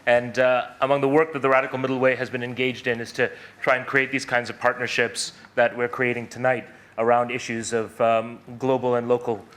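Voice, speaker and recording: A man acts as host at an event and introduces the next speaker with a courteous, effusive build-up. His voice is bright and forceful, and his delivery hesitates often. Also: mid-range voice, little pitch movement, brisk, hardly any pauses. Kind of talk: formal introduction. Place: event hall.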